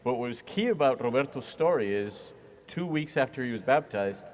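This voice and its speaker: A man's voice: medium pitch, expressive, normal speed, a little mellow, slight background noise. A man who is a church minister, talking earnestly and reflectively in an interview, with frequent fillers. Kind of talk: conversational interview.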